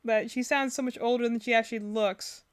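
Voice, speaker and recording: Woman, fairly high voice, casual game streamer, unscripted reactions, rambling, thinking out loud. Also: fairly even intonation, brisk.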